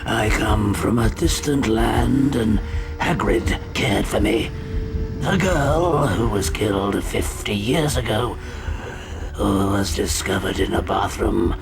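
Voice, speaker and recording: An ancient giant spider broods and threatens in a fantasy video game. The voice creaks heavily and moves slowly, grave and ominous, over clear background music. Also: male voice, lowish voice. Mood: grave, brooding. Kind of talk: video game character acting.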